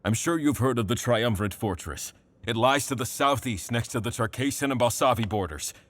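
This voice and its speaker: A man playing a solemn king in an audio drama, speaking formally and gravely. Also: mid-range voice, a little fast, no room sound, natural voice. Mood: grave, commanding. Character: solemn king commanding his knights.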